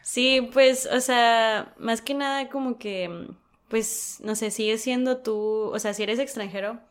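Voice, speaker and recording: A woman's voice: fairly high pitch, steady tone, slow pace, clear, resonant tone. A young woman, a university student, chatting casually as an interview guest, laughing often and using many fillers. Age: young adult. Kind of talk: casual chat.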